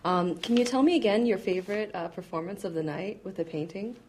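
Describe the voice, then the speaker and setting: A woman interviewer with a mellow, darker tone keeps a friendly, casual chat going and laughs often. Her pitch sits in the middle of the range, and faint ambience is heard behind her. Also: a little fast, slight background noise. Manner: friendly, laughs often, with many short backchannels.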